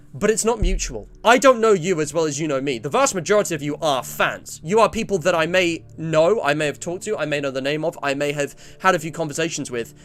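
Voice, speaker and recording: A man's voice: on the high side, a bit bright. A twenty-year-old English man chatting casually on a livestream, rambling and often interrupting himself as he reads and comments. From England. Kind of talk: casual livestream chat, reading out survey and viewer messages.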